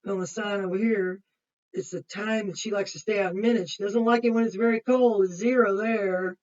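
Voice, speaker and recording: A woman's voice: medium pitch, steady tone, fairly quick, a little mellow. A woman kindergarten teacher reading a picture book aloud to young children, with simple explanations and chatty asides. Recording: muffled, distant microphone.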